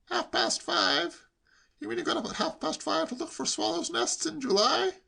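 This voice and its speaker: A man voicing a breezy, inquisitive young English gentleman in a comic audiobook, facetious and persistent in his questioning. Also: very high voice, expressive, moderate pace, gravelly, pitched above the performer's own voice, heavily acted. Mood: incredulous, pedantic.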